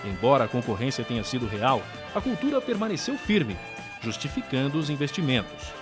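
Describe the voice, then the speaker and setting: A Brazilian man delivers scripted documentary narration. His mid-pitched voice moves through a lively range of intonation, and music plays clearly behind him. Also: brisk.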